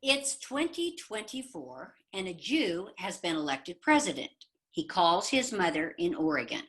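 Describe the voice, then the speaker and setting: A woman's voice: fairly low pitch, big pitch swings, a little slow, fairly bright tone. A woman telling a joke and reciting a poem expressively for a community readers theater show over a video call.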